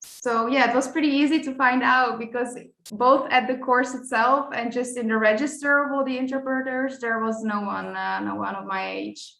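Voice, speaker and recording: A woman's voice: fairly high pitch, fairly even intonation, moderate pace, creaky, hardly any pauses, a bit bright. Young woman in a roomy room. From Netherlands. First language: Dutch and Croatian. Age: 20s. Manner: chatty, many fillers and restarts. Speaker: guest, court interpreter. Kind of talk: casual interview conversation. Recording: roomy echo.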